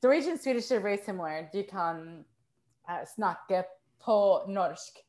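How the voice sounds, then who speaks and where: A woman chatting as a podcast guest, telling stories in an animated, self-mocking way. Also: medium pitch, measured pace. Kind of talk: casual conversational storytelling.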